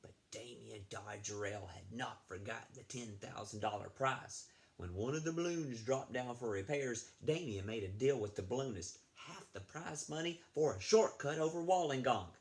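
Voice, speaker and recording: A man with a mid-pitched, creaky voice reads a children's story aloud with plenty of expression. He talks directly to his young listeners like a school librarian, slipping in chatty questions as he goes. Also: lively intonation, normal speed, darkish tone. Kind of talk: expressive picture-book read-aloud with asides to children.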